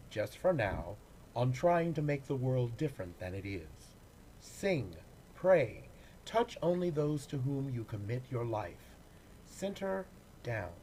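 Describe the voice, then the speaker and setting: Slow, measured man reciting poetry. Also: medium pitch, darkish tone, a little hiss or hum, poor audio. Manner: measured, deliberate phrasing with pauses. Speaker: actor reading poems.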